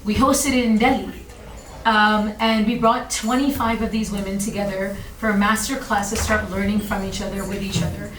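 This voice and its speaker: A woman giving an informal panel answer in a halting way, listing points with emphasis. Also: medium pitch, level tone, fairly bright tone. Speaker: panelist, advocate for women entrepreneurs. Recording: echoey room, distant mic, clear noise.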